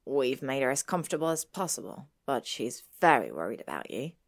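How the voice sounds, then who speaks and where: Woman acting protective teenage boy wizard, low pitch, firm, blunt, stern, storybook character. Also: very animated, a little fast, heavily acted. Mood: sober.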